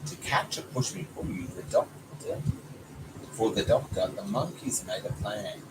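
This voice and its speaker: A man's voice: fairly low pitch, lively intonation, unhurried. A man reading a children's story aloud as a father does for his child. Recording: noisy home recording, clear background noise.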